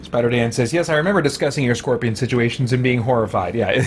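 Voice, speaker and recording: A man's voice: mid-range voice, quick pace, background noise. A man doing casual live gameplay commentary as a streamer, thinking aloud in a rambling, hesitant way. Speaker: game streamer and host. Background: game music.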